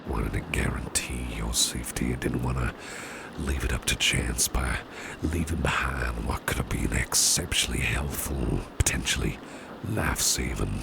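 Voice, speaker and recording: Man playing a very deep, gravelly, doting boss. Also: heavy background noise. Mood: protective, earnest. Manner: old-fashioned genteel phrasing, unhurried, repeats himself. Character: doting businessman boss, courteous, protective, slyly menacing. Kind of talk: ASMR roleplay, soft personal attention.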